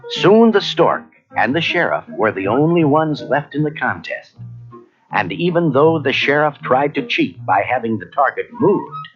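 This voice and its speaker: A man narrates a children's read-along story with a very animated, mid-pitched voice. His delivery is measured and expressive. The recording sounds like a slightly dull cassette, with music faintly behind him.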